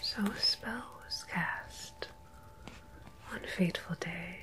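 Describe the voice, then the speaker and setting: A woman playing a mysterious, gentle tarot medium in an ASMR roleplay, speaking in a soft breathy whisper with deliberate pauses. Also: fairly low pitch, rough recording. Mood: mystical, solemn. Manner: slow, hushed, deliberate, with long pauses. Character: mysterious gentle female tarot medium between two worlds.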